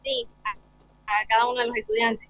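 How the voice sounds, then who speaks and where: A woman's voice: a little high, flat delivery, moderate pace. A young woman speaking as a student in an interview, giving short informal answers. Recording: slightly dull lo-fi live broadcast.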